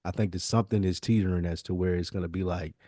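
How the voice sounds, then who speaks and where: A man talking as a podcast host in casual, slangy, profane and animated hip hop conversation. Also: deep voice, fast talker, dry recording, clean studio sound.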